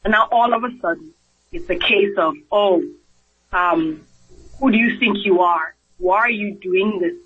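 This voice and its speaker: Nigerian-accented man, high voice, earnest radio guest, muffled broadcast sound. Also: unhurried, breathy edge. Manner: earnest, repeats words when starting a thought, acts out quoted voices. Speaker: physician guest. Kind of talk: radio interview.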